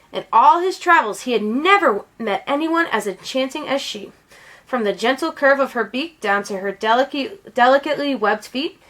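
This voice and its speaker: A woman reading a children's picture book aloud as an expressive storyteller. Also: fairly high pitch. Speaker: read-aloud storyteller, teacher.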